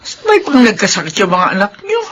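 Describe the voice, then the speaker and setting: A woman's voice: mid-range voice, huge pitch swings, a little fast, a bit bright. A woman acting a devoted, hardworking older Filipino mother in a radio drama, exclaiming and fussing over her family. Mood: concerned.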